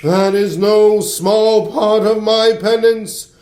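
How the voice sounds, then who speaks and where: An actor playing a mournful, foreboding dead businessman's ghost in a radio play, with drawn-out, wailing delivery. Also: sits high, steady tone, darkish tone. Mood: solemn warning. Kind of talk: stage-style radio play acting. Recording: echoing voice over faint music.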